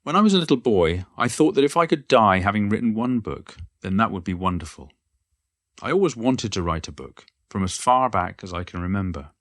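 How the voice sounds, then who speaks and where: A man's voice: fairly low pitch, animated, a little fast, dry recording, clean studio sound, close to an everyday voice. A man playing an elderly poet and former schoolteacher, reminiscing in a modest, wry and reflective monologue. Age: older. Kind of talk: reflective first-person monologue, read from a book.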